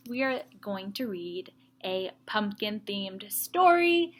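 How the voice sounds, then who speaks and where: Slow, bright-voiced woman teacher reading aloud. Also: fairly high pitch, a little hiss or hum. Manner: expressive, sing-song emphasis with explanatory asides. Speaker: young children's teacher. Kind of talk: read-aloud storytelling for young children.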